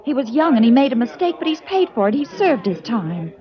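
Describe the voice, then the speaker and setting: An actress playing a young nightclub singer in a 1940s radio drama, earnest and pleading. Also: female voice, on the high side, sing-song, a little fast. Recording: dull old radio recording.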